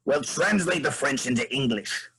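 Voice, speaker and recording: A man voicing an irritable, scheming moose queen in a staged comedy reading, dry and deliberate. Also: medium pitch, animated, a bit bright, slightly rough. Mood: exasperated.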